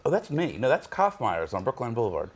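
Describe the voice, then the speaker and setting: A man's voice: medium pitch, huge pitch swings, brisk, slight background noise. A man as a regular on a television talk show, joking and self-mocking in casual banter. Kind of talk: casual studio chat. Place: TV studio.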